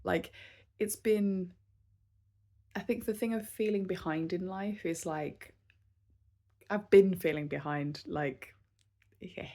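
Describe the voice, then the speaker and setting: Slow, hesitant woman in her thirties. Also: lowish voice, dark tone. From southern England. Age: 30s. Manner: unscripted and hesitant, with many fillers and restarts; candid and self-reflective. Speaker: vlogger. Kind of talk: casual talking-to-camera vlog.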